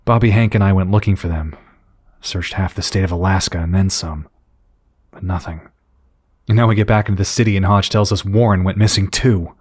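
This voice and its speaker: A man playing a grim, burdened superhero team leader in an audio drama, speaking in an earnest, weary, low-key way. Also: steady tone, brisk, darkish tone, no room sound, studio quality. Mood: weary grief.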